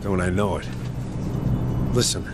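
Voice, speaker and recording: An actor playing a gruff, stubborn American Marine sergeant, blunt and commanding, in a video game. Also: male voice, low-pitched, a little slow, over music. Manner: blunt, commanding, folksy military talk.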